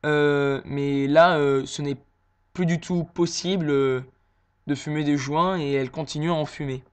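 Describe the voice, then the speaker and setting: A man's voice: a little high, steady tone, smooth. Hesitant man on a prank call. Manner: hesitant, full of pauses and fillers. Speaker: prank caller posing as a son.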